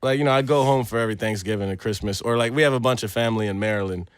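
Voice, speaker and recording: A man's voice: lowish voice, very fast, clean tone, dry recording, clean studio sound. A man as a podcast guest in casual chat, laid-back, laughing often and calling people "man". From United States, first-generation of Jamaican parents. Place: podcast studio.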